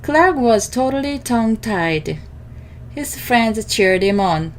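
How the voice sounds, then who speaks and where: A woman reading a picture book aloud to children in expressive narration. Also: a little high, takes it slowly, background noise. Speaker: storybook reader.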